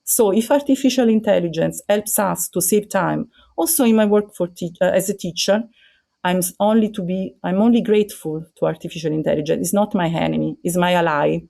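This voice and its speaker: This is a middle-aged Italian woman speaking English as a second language, with a clear Italian accent. She talks in a podcast interview, halting often with "uh" and "um" and searching for words as she goes. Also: fairly low pitch, dark tone. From Italy. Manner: hesitant with many fillers, non-native phrasing. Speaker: teacher guest. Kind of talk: interview, remote podcast conversation. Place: recording over the internet.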